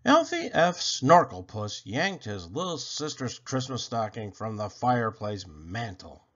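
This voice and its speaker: A man reading a children's picture book aloud, expressive and deliberate. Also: mid-range voice. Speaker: storybook reader. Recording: dull lo-fi recording.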